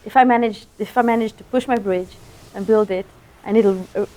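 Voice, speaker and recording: A woman video artist from Israel describing her work, reflective and halting. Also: medium pitch, fairly even intonation, warm tone, poor audio. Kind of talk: interview.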